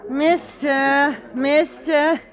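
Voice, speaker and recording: Tipsy pub girl, super squeaky, really slow n dopey, giggly, old muffled radio drama. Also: steady tone, a crowd in the background. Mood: pleading, tipsy. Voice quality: high, squeaky. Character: tipsy, lovesick, giggly young pub woman, Gertie. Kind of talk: 1950s radio drama acting. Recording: muffled vintage 1950s radio recording.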